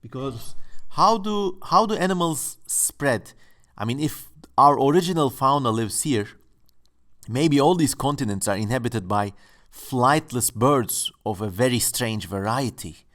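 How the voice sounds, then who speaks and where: A man speaking English hosts his channel from home, his voice sitting at an ordinary middle pitch. He muses along casually and playfully, with a tongue-in-cheek, joking manner. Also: lively intonation, a little slow, fairly bright tone. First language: Turkish. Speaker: channel host. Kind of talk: casual exploratory commentary.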